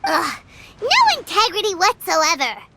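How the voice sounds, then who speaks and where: A woman voicing a tiny, excitable, childlike companion creature in a video game, squeaky, blunt and exaggerated. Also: measured pace, background noise, heavily acted. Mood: skeptical, blunt. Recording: music clearly behind the voice.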